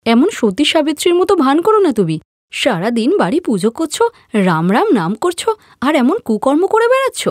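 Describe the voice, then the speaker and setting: A woman's voice: sits high, big pitch swings, fairly quick, no room sound, clean studio sound, voice pushed up. A woman voicing an arrogant, scheming young sister-in-law in a cartoon story, with an accusing, melodramatic, taunting manner. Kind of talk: Bengali cartoon story voice acting.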